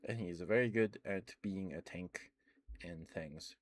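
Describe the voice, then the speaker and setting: A man talking softly in an ASMR stream, rambling with many ums and restarts and now and then gushing with enthusiasm. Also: deep voice, slow, dry recording. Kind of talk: soft-spoken ASMR rambling.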